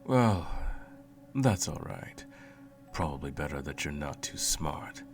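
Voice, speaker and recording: A man playing a cruel, smug, all-powerful sorcerer in an ASMR roleplay, with a slow, condescending, menacing drawl and a gravelly voice. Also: very deep voice, big pitch swings, full character voice. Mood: mocking, domineering. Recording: music playing under the voice. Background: music.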